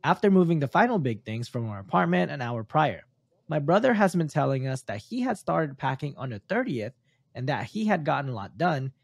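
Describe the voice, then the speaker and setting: A man's voice: fairly high pitch, very animated, fairly quick, creaky, no room sound, clean studio sound. A man who is not a native English speaker reads a story aloud for a podcast, stumbling now and then on his words. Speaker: podcast host reading stories.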